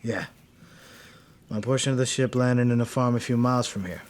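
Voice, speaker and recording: A man's voice: medium pitch, fairly even intonation, normal speed, a little hiss or hum, lightly acted. A man playing a grim airship crewman in an audio drama, speaking in a weary, matter-of-fact way. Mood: grim, subdued. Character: grim young airship crewman surveying the dead.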